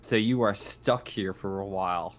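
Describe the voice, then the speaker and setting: A man's voice: on the low side, normal speed, slight background noise. A man acting as game master of a tabletop roleplaying game, narrating casually and explaining rules in informal, sweary talk.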